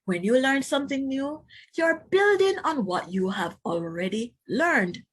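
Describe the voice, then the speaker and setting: A woman teacher reading a children's book aloud, pausing to explain and put questions to young listeners. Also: sits high, big pitch swings, husky.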